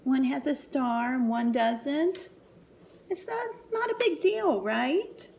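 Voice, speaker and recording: A woman's voice: sits high, expressive, takes it slowly, creaky, darkish tone, slight background noise. A woman reading a picture book aloud to children expressively, with chatty asides to young listeners. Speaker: children's story reader, teacher.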